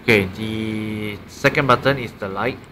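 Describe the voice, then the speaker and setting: A man's voice: fairly low pitch, unhurried, background noise. A man with a Malaysian accent casually showing a gadget, unscripted, often repeating and correcting himself. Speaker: amateur product reviewer. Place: small room indoors at a desk. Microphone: built-in mic of a handheld camera.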